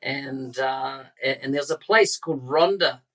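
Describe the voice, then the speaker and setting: A man's voice: medium pitch, unhurried, fairly bright tone. A man hosting a podcast, introducing a guest and chatting in a friendly, conversational way. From New Zealand. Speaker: podcast host.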